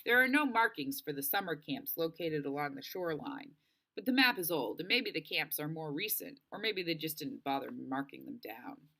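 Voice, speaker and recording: A woman narrating a children's novel aloud in an expressive storybook style, acting out the dialogue. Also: low-pitched, moderate pace. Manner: animated, acts out dialogue.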